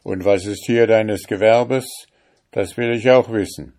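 A man's voice: lowish voice, steady tone, very slow, voice pushed up. A man voicing a rich, wicked judge who blusters and threatens in a storybook reading. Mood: demanding. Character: rich wicked male judge, overbearing and arrogant.

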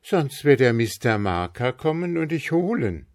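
A man's voice: mid-range voice, very animated, a little slow, voice pushed up. A man voicing an anxious mother who warns her son, pleading, in a storybook reading. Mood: warning.

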